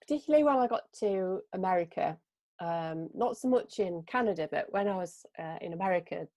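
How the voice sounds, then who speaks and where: A woman's voice: on the low side, lively intonation, brisk, a little mellow, no room sound. A woman with an English Midlands accent, hosting a podcast and chatting through anecdotes. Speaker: podcast host. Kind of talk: casual podcast conversation.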